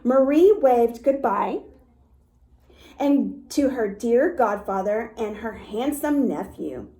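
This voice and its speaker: A woman reading a story aloud to young children in an expressive way, with little asides to her listeners. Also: a little high, takes it slowly. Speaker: storytime reader for a dance academy.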